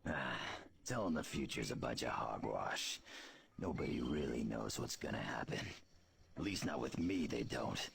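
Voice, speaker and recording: A man playing a gruff, blunt, tough mutant brawler with a gravelly voice in a video game. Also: very deep voice, animated, normal speed, a bit bright, full character voice. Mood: blunt, dismissive. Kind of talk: video game cutscene acting.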